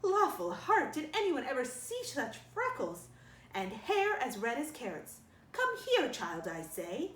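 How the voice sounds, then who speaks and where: A woman's voice: sits high, wildly swooping pitch, unhurried, a bit bright, roomy sound, far from the mic, slight background noise, full character voice. A woman voicing a blunt, nosy, disapproving older village woman in a storybook, with an emphatic, outspoken manner. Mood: blunt, scolding.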